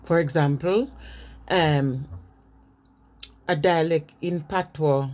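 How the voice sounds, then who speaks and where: Woman reading her notes haltingly. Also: deep voice, darkish tone. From Jamaica. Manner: reads from notes, halting with pauses and restarts. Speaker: vlogger. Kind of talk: informal talk on language to camera.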